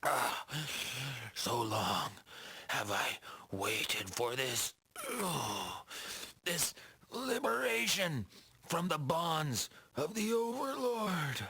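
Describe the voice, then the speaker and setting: A brooding, dramatic video game villain, with a husky, tense edge. He savors his words very slowly and haltingly in a dry recording. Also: mid-range voice, bright, forceful tone, no room sound, exaggerated voice. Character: smug, dramatic male villain, theatrical and brooding. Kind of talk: video game cutscene acting.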